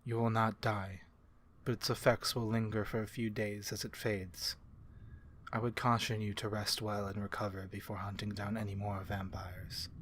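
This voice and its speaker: A man voicing a calm, courteous vampire in a soft-spoken ASMR fantasy roleplay, with measured, formal and gently reassuring speech. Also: lowish voice, a little hiss or hum, natural voice. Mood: gentle, caring. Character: calm, courteous male vampire ally to humans.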